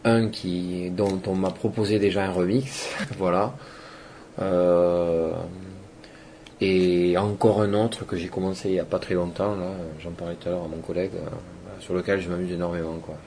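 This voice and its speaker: Low-voiced man rambling in an interview. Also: level tone, normal speed, mellow, slight background noise. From Toulouse, France. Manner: loose and rambling, trails off with fillers. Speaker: electronic music DJ and producer. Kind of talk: casual interview answers.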